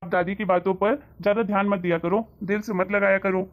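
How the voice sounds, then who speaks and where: A woman plays a level-headed young grandson in a family skit. He speaks calmly and reasonably in a mid-range voice, trying to settle the quarrel. The recording sounds a little dull and lo-fi. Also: mid-range voice, a little fast, lightly acted. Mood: reassuring. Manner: calm, reassuring, reasonable. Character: calm young grandson, peacemaker.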